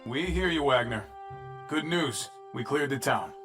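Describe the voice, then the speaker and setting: An actor playing a weary, laconic pilot and reluctant hero in a video game, terse and dry. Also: male voice, medium pitch, animated, measured pace, a bit bright, faint music behind. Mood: dry, businesslike. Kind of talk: video game cutscene acting.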